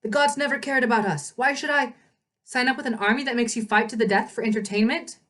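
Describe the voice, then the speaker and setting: A woman's voice: on the high side, fast talker, fairly bright tone, recorded from a distance, voice pushed up, close to an everyday voice. A woman reading the part of a bitter teenage boy fighter in a storybook, sneering and dismissive.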